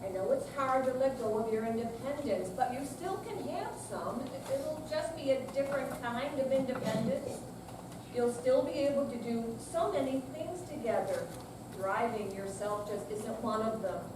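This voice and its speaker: A woman's voice: a little high, normal speed, natural voice. A woman playing a worried, firm grown daughter of elderly parents in a staged reading. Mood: gently reasoning. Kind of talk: staged readers theater reading. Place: senior center stage reading, far from mic. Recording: echoey noisy hall, distant mic.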